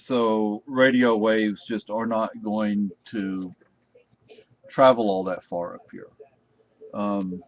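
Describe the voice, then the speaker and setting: A man giving a casual, unscripted product review in a halting, rambling way. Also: fairly low pitch, steady tone, a little hiss or hum. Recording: dull, muffled lo-fi sound.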